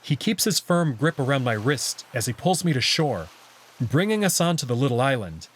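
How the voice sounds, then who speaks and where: A man with a husky voice narrating a first-person visual novel story expressively. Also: mid-range voice, very animated, normal speed.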